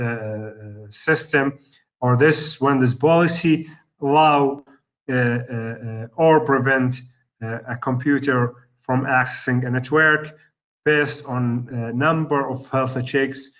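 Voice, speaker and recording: A male lecturer with an Arabic accent, losing his voice, teaching a class in a halting way with many pauses and restarts. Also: medium pitch, fairly bright tone. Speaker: university lecturer. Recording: slightly dull lo-fi recording.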